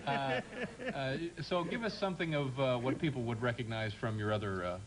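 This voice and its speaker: A man's voice: medium pitch, animated, brisk. A man hosting a talk show, asking questions in a hesitant way with many fillers. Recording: noisy 1980s TV broadcast with audience.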